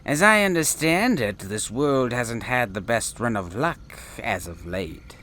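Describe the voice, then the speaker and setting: A man playing a courteous, mysterious wanderer in a sci-fi audio drama, measured, wry and cryptic. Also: mid-range voice, animated, bright, forceful tone, a little hiss or hum. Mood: wry courtesy. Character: enigmatic, courteous wandering traveler, wry and ageless. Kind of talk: full-cast sci-fi audio drama acting.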